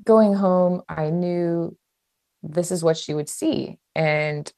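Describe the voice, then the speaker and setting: A woman from Hawaii, an author being interviewed, talking in a casual, chatty and expressive way. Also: on the low side, takes it slowly, no echo, studio quality. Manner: chatty, expressive, laughs easily. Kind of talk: casual conversational interview about own writing.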